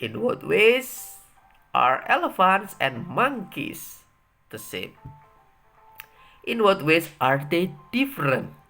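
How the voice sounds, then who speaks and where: A person reading a children's story aloud in a deliberate, halting delivery. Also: mid-range voice, sing-song, creaky, sharp tone, soft background music. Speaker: storybook reader.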